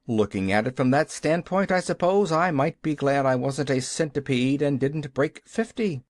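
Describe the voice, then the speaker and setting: A man's voice: medium pitch, expressive, slow pace, dry recording, clean studio sound. A man voicing a bitter, grumpy bedridden man in an audiobook. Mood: sarcastic. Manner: grim and curt.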